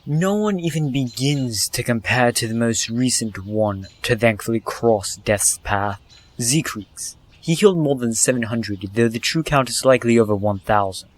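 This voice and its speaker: A serious male wolf voiced by a man with a medium-pitched voice. His delivery is formal and emphatic, suited to a fantasy audio drama. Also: moderate pace, close to an everyday voice, rough recording.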